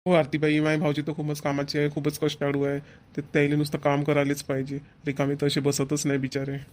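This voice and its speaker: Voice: mid-range voice, completely flat delivery, quick pace, darkish tone, lightly acted. An actor playing an insistent, homely village brother in a family cartoon, with a rural Varhadi Marathi accent. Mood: concerned. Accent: Maharashtrian, rural Varhadi Marathi. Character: bossy but caring village brother and husband.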